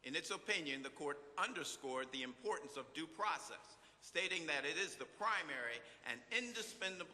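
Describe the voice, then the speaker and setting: A man presenting a formal case summary, reading aloud in a halting way with corrections and spoken asides. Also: on the high side, lively intonation, bright, forceful tone. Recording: very reverberant hall.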